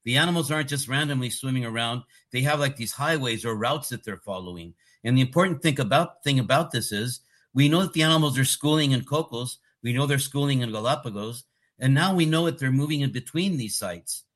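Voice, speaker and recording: A man's voice: mid-range voice, a little fast, a bit bright. Californian guy in his 60s, guest explaining his work, earnest, pushes his points hard. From Costa Rica. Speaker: guest, marine conservation scientist. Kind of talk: casual interview talk.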